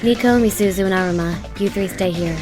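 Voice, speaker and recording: A brusque student leader played by a woman with a mid-pitched voice, giving curt orders in an audio drama. Music plays clearly behind. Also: fairly quick, natural voice. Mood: commanding. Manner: curt, commanding. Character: brusque, take-charge teenage student.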